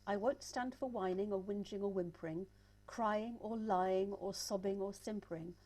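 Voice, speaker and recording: A stern old nanny villain, played by a woman in a stage musical read-through. She declaims with drawn-out menace, severe and bullying, at a middle pitch. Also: dark tone, natural voice. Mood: stern, menacing.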